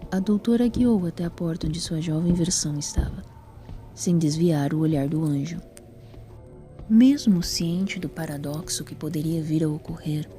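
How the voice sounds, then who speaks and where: A woman's voice: low-pitched, mellow. A woman with a Brazilian accent reading scripted narration for a dramatic audio story.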